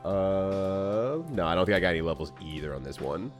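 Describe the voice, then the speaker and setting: A man's voice: deep voice, lively intonation, moderate pace. A man at a tabletop game, chatting casually in a jokey way as one of the players. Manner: laughs often, jokey. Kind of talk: casual joking chat. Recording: music playing behind the voice.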